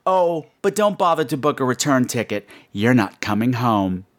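A man's voice: medium pitch, big pitch swings, normal speed. A man hosting a podcast with a campy, theatrical, chatty comic delivery. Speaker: podcast host.

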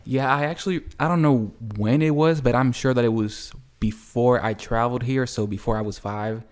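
A man's voice: medium pitch, steady tone, normal speed. A young man from El Salvador, a guest artist answering questions in an easygoing, plain-spoken way at a kitchen table. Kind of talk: casual interview answers. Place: home kitchen.

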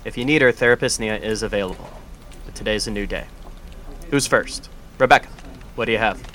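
A man playing a bright, upbeat boss. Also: fairly low pitch, brisk, a little hiss or hum. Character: upbeat man directing a team meeting. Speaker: foundation director leading a meeting.

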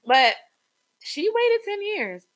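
A young woman co-hosting a casual gossip podcast, animated, with sudden high jumps in pitch and trailing ums. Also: slow pace. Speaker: podcast co-host.

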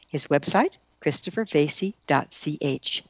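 A woman's voice: low voice, animated, unhurried, no room sound, rough recording. Woman hosting a radio interview, dry close sound. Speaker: radio show host. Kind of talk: broadcast interview and show announcements.

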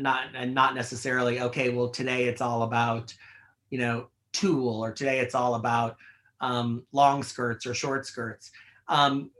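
A man's voice: medium pitch, sharp tone. A middle-aged man who works as a fashion editorial director, answering interview questions in a chatty, rambling way full of "you know" and "like".

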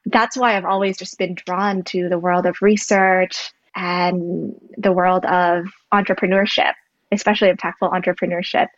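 A woman's voice: on the low side, little pitch movement, normal speed, dry recording. Young woman from indonesia, earnest podcast guest, kinda muffled dry audio. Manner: thoughtful, earnest, explains at length. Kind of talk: podcast interview. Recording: dull lo-fi dry recording.

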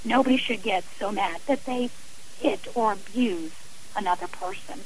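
A woman's voice: medium pitch, moderate pace, slight background noise, rough recording. A middle-aged woman speaking earnestly and emphatically as a guest in a radio interview, giving personal testimony in a conversational way. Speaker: interview guest, author and abuse survivor.

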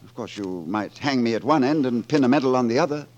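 An actor in a 1940s radio drama playing a swaggering, boastful vagabond poet with a florid, theatrical delivery. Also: male voice, mid-range voice, animated, fast, a little mellow, highs cut off, natural voice. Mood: flustered. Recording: vintage 1940s radio broadcast.

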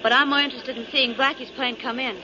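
An actress playing an anxious, polite, devoted young sweetheart, hesitant and worried, in 1940s radio style. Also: female voice, sits high, fairly even intonation, fast, background noise, close to an everyday voice. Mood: uneasy. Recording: dull old radio recording.

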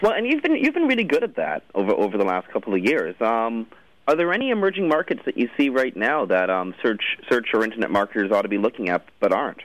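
A man's voice: mid-range voice, very animated, fast talker, a bit bright, no echo, rough recording. A man hosting an interview show, asking his questions in a conversational style. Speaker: radio show host, interviewer.

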